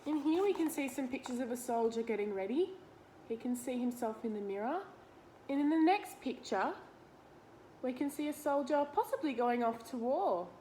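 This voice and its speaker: Higher-voiced Australian woman reading to children. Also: normal speed, a little hiss or hum, poor audio. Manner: expressive, questioning, addresses young listeners directly. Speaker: read-aloud presenter for young children. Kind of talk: picture-book read-aloud with simple explanations to children.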